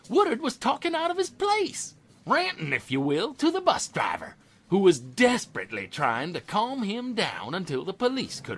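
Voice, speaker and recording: A man playing a pompous, bigoted Southern defense attorney with a husky voice, orating in a courtroom audio drama. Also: high-pitched, a bit bright, exaggerated voice. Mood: sneering, insinuating. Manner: pompous, rhetorical, goading.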